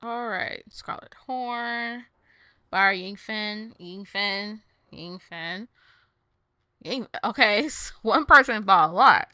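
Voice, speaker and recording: Bright-voiced woman playing a game. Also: mid-range voice, slow, no echo, clean studio sound. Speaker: gaming YouTuber. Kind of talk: casual reactions and reading aloud during gameplay.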